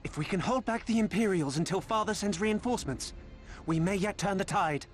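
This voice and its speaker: Man playing a high-voiced, fervent young fighter. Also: brisk, close to an everyday voice. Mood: determined. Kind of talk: video game cutscene acting. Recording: music behind the voice.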